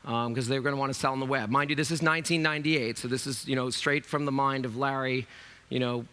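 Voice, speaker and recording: A man in his fifties with a raspy voice, hosting a conference keynote in a loose, chatty way with many fillers. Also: medium pitch, steady tone, fast, sharp tone. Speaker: keynote host. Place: large conference stage.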